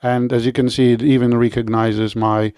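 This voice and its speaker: A man with a Polish accent giving a relaxed product review and installation walkthrough, with frequent fillers and self-corrections. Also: mid-range voice, normal speed, smooth, no echo, clean studio sound.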